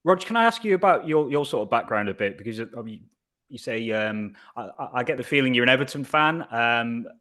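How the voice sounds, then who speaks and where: A man co-hosting a fan podcast, asking questions in a halting, hesitant way. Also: medium pitch, expressive, fairly bright tone. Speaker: podcast co-host. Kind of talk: casual interview questions.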